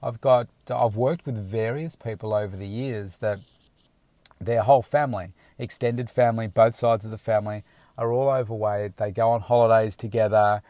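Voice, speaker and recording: Male fitness coach, slightly low register, frank, loose and rambling, recorded dry from his car. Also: fairly even intonation, normal speed, dark tone, dry recording. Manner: rambling, frank, with many restarts. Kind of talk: casual motivational talk to camera. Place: inside a car.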